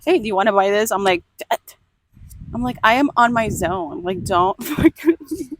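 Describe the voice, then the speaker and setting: A woman's voice: mid-range voice, expressive, moderate pace, slight background noise. A young woman from Cuba talking candidly to camera outdoors by a beach, emotional and laughing often. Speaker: vlogger. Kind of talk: casual vlog to camera.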